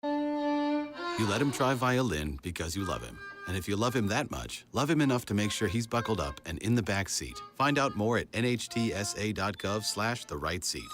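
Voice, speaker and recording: Expressive American male ad announcer over music. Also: lowish voice, moderate pace. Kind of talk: scripted public service announcement.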